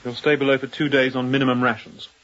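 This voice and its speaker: An actor playing a young, newly appointed sea captain in a radio drama, clipped, commanding and guarded. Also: male voice, mid-range voice, flat delivery, quick pace, fairly bright tone, muffled recording, natural voice. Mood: stern, impatient. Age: young adult.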